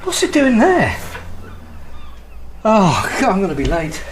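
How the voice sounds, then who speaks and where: An actor playing a harried, anxious husband in a naturalistic radio drama, halting and apologetic. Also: male voice, sits high, wildly swooping pitch, noisy background, heavily acted. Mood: frantic. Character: harried recently married husband, anxious and unravelling.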